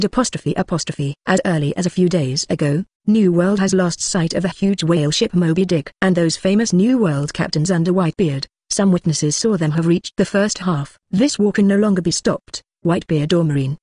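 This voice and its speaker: A text-to-speech narrator reading a web novel audiobook in a steady, uninflected way, even speaking punctuation marks aloud. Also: medium pitch, slightly rough, dry recording, clean studio sound.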